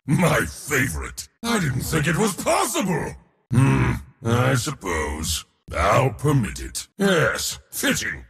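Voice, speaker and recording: An actor playing an arrogant, bombastic god of war in a video game, declaiming and gloating in a booming theatrical voice. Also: male voice, huge pitch swings, slow, creaky, fairly bright tone, in a large hall, full character voice. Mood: haughty amusement. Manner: booming, declamatory, gloating, short battle cries.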